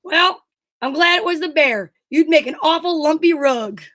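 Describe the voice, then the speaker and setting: A woman plays the straight man of an old radio comedy duo. The voice is extremely high, with a brisk, bossy and scolding manner. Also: lively intonation, pushed and bright, a little hiss or hum. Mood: dry, teasing.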